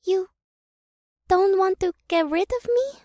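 Man doing a shy, soft-spoken nymph girl. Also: very high voice, slow, no echo, studio quality, pitched above the performer's own voice. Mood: timid. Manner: quiet, hesitant, tearful. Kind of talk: audiobook character voice.

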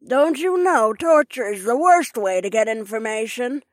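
A man voicing a sly, mocking old crone, a former torturer, in a high put-on voice with a taunting drawl. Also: expressive, normal speed, no room sound. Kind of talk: audiobook character voice.

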